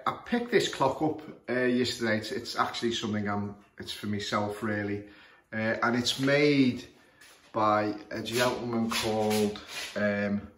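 A man with a broad Liverpool accent and a lowish voice talks through a grandfather clock in a casual, halting way, often stopping to restart his sentences. He sounds like he is filming on a phone in a room full of clocks. The room is echoey, with a faint hiss behind him. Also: steady tone, a bit bright. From Liverpool, England. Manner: hesitant, many fillers and restarts. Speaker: clock repairer. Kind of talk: casual show-and-tell commentary.

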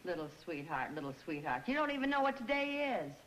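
A woman's voice: on the low side, big pitch swings, fast, a bit bright. An actress playing a wry, exasperated American wife and mother in a 1950s television comedy. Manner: dry, sardonic. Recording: very noisy old TV soundtrack.

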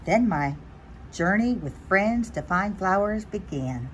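A woman reading a children's picture book aloud in an expressive storytelling style. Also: mid-range voice, takes it slowly, a little hiss or hum. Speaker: storybook reader.

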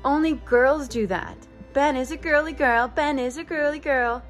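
A woman's voice: sits high, normal speed, faint music behind, voice pushed up, lightly acted. A woman voicing mean, teasing schoolboys in a picture book, with sing-song jeering. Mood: mocking.

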